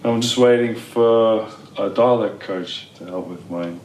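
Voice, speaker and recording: An actor with a croaky, tired voice, chatting casually and affirming often. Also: male voice, lowish voice, a little slow, a little mellow, roomy sound, far from the mic, a little hiss or hum, rough recording. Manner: casual, affirming with frequent "yeah". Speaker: actor preparing a role. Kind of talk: casual behind-the-scenes chat.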